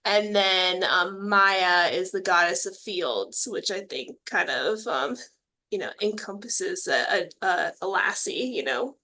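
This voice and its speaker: Bright-voiced woman in casual chat. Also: lowish voice, a little slow, rough recording. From Texas, United States. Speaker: webinar panelist. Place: online video call.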